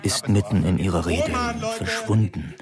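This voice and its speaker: An actor playing a formal, suspenseful live reporter announcing a parliamentary session in a radio play. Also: male voice, low voice, huge pitch swings, moderate pace, over loud music. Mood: tense, suspenseful.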